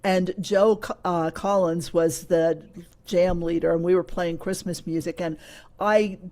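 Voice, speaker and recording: A woman's voice: fairly low pitch, steady tone, measured pace, mellow. A woman hosting a music show, chatting in an encouraging way with a few false starts. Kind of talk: casual chat.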